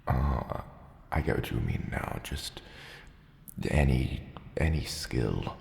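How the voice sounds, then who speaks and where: A man voicing a gruff, dry-witted dragon father in an ASMR roleplay, fond of his hatchling, with a halting, deadpan, teasing delivery. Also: heavily acted. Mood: wry, musing. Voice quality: very deep, gravelly. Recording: roomy cave-like reverb, clear background ambience.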